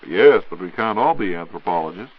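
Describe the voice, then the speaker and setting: Male American announcer and interviewer, 1940s radio style. Fairly low voice, scripted phrasing, dull archival sound. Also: animated, a little fast, breathy edge.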